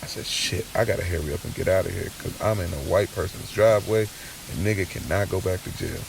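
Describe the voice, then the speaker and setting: A man playing a streetwise young man just out of jail, telling his story conversationally in casual slang, with a gravelly voice, in an audio drama. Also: low-pitched, quick pace, recorded from a distance, noisy background. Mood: uneasy. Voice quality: gravelly, creaky.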